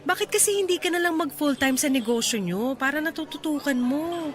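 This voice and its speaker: An actress playing Mylene, a hardworking young woman devoted to her mother, in a radio drama with soft music underneath. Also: high voice, lively intonation, fairly quick.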